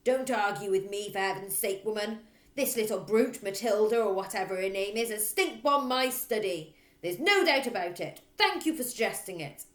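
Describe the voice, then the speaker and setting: A woman voicing a bullying middle-aged headmistress in a storybook, who barks and shouts with open contempt. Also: mid-range voice, lively intonation, moderate pace, reverberant, pitched above the performer's own voice, close to an everyday voice. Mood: outraged.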